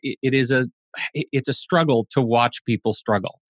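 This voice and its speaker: A man with a medium-pitched voice co-hosts a conversational podcast. He is wry and joking, and leans on words for emphasis. The sound is dry and close. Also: moderate pace, no echo. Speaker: podcast co-host, former college instructor. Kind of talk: casual conversational podcast hosting.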